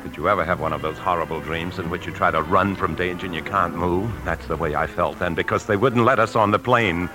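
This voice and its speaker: An actor playing a tense, desperate embezzling husband in a 1950s radio drama, speaking with urgent, confessional intensity. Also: male voice, low voice, fairly quick, few pauses, natural voice. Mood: haunted, narrating. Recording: old radio broadcast, faint music.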